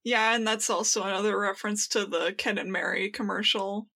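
A woman's voice: mid-range voice, fairly even intonation, fairly quick, pushed and bright. A young woman co-hosting a casual fan podcast, tossing in playful, wry quips. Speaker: podcast co-host.

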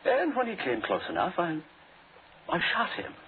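An actor playing a cool, double-crossing hired killer in a 1940s radio drama, speaking with smug, understated courtesy. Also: male voice, sits high, wildly swooping pitch, moderate pace. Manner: understated, formal, smugly courteous. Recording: tinny, noisy old 1940s radio recording.

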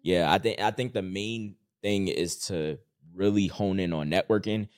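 Low-voiced man giving earnest advice. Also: normal speed, dry recording. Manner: earnest, encouraging, filler-heavy with "like". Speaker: podcast co-host. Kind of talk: casual podcast chat.

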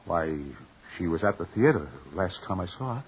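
An actor playing an anxious, subdued husband with a husky voice in an old radio crime drama. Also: male voice, low-pitched, lively intonation, moderate pace. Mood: subdued, wary. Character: anxious, subdued husband under police questioning. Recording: muffled old radio recording.

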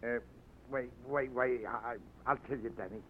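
A man's voice: medium pitch, steady tone, moderate pace, a little hiss or hum, lightly acted. An actor playing a boastful, panicky drunken informer, stammering as he talks, in a 1940s radio drama on a muffled old broadcast. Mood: flustered. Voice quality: blustering, stammering.

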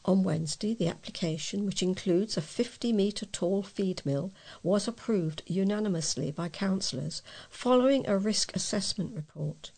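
A woman's voice: medium pitch, moderate pace, vocal fry, few pauses, darkish tone, slightly rough. A woman volunteer newsreader reading local news aloud in an informal, amateur way.

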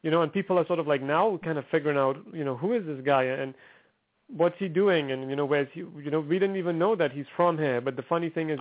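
A man, a musician talking casually as a guest in an upbeat, rambling way with many fillers. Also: a little high. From Trinidad and Tobago. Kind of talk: casual phone interview. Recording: tinny phone line.

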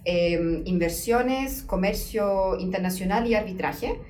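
A young woman whose first language is German talks through her answers at an even, middling pitch, stopping often on a soft "em" to find the next word. A light hiss sits under her voice. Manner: thoughtful, with frequent "em" pauses. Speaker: guest researcher. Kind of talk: conversational interview.

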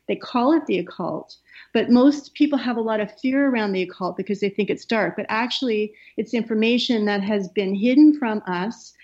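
A middle-aged woman from Canada, a soft-spoken guest who talks with many fillers and pauses. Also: mid-range voice. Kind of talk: casual interview talk. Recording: slightly dull, lo-fi sound.

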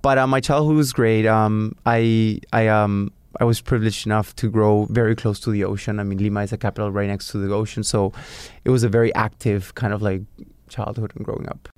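A young man with a Peruvian Spanish accent talks easily as an interview guest, his voice smooth and resonant. He fills his sentences with "you know" and soft pauses, and the sound is close and dry. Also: lowish voice, steady tone, no room sound. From Peru. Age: 20s. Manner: easygoing, many fillers like "you know" and "um". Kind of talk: casual interview chat.

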